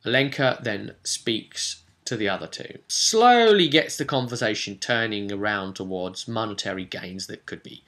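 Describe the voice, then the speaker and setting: A man telling a casual recap of a tabletop role-playing session as its game master, with animated asides and rhetorical questions. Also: lowish voice, moderate pace, few pauses.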